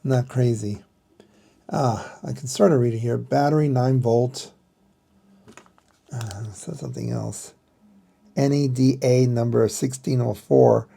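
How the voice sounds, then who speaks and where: Middle aged guy doing a casual explainer, mellow n creaky voice, kinda halting, thinks out loud. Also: medium pitch. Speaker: vlogger showing a vintage radio.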